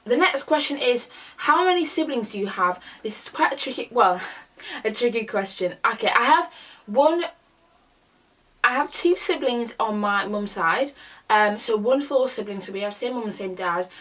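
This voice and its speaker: A woman's voice: a little high, expressive, normal speed, a bit bright. A young woman from a Congolese family, chatting casually through a Q&A vlog, rambling and restarting her sentences. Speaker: YouTuber.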